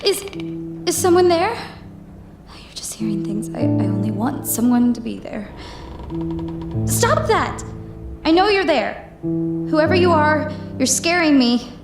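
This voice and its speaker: A woman's voice: high voice, lively intonation, measured pace, long pauses, roomy sound, music in the background. An actress playing a frightened young blind orphan girl who pleads and trembles. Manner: pleading, fearful, tearful.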